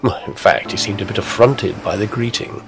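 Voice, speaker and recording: A man acting as a storyteller with a low voice, narrating an old-fashioned ghost story in measured scripted prose. Music plays behind him. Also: gravelly. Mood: storytelling. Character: storyteller narrating a spooky Victorian coastal tale.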